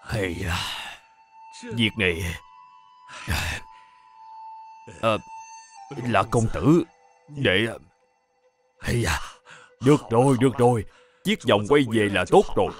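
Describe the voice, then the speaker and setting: An actor playing an effusive, grateful middle-aged master of an old Chinese household in a historical cartoon, halting and full of interjections. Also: male voice, fairly low pitch, expressive, faint music behind. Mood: flustered, surprised. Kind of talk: animated historical cartoon dubbing.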